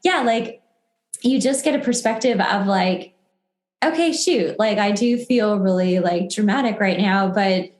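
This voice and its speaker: Chatty woman podcaster in an echoey room. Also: mid-range voice. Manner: chatty, uses "like" constantly, acts out inner thoughts. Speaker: podcast host. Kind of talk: casual podcast chat. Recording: roomy, echoey sound.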